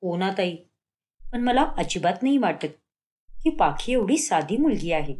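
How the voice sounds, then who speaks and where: A woman's voice: mid-range voice, very animated, a little slow, darkish tone, voice pushed down. A woman voicing a jealous, suspicious young daughter-in-law in a cartoon moral story, sounding conspiratorial. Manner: conspiratorial, doubting.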